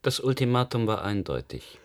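An actor playing a cold, threatening leader in a German radio drama, speaking in terse, unemotional commands. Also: male voice, medium pitch, very animated, normal speed, close to an everyday voice. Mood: cold, imperious. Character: cold, threatening male leader of a powerful faction.

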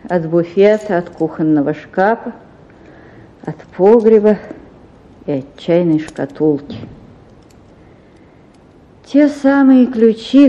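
Slow, anguished young gentlewoman. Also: fairly low pitch, highs cut off. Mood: despairing. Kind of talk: theatrical radio drama acting.

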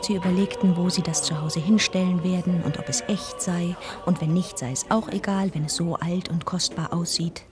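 Actress as a curious deaconess over music. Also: female voice, on the low side, fairly even intonation, moderate pace, few pauses, close to an everyday voice. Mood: calm narration. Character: inquisitive churchgoing deaconess, fond companion and narrator. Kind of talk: radio drama dialogue and intimate narration.

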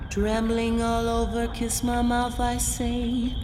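Slow, echoing doomed female lover. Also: a little high, completely flat delivery. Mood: yearning, lyrical. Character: woman lover in hell recounting her fateful kiss. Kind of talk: slow poetic recitation in a radio drama. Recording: echoey, long reverb, music behind. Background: music.

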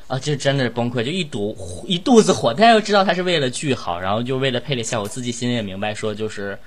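A male voice actor chatting casually in a blunt, animated and exclamatory way. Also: mid-range voice, fast talker. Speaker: voice actor in an audio drama. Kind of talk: casual chat.

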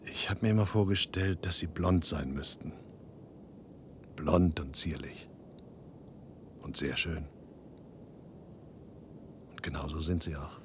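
An actor playing a troubled grown son in a radio play, earnest and imploring. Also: male voice, slow pace, pauses often. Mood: wistful. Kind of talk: German radio play acting. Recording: rumbly, noisy archive radio recording.

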